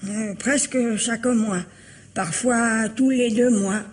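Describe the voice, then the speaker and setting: A woman's voice: a little high, slow. A woman acting an anxious, evasive aunt in her seventies in a French radio mystery, hesitant and plaintive. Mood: guarded, hesitant. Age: older. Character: anxious, evasive 73-year-old aunt, a widowly homebody.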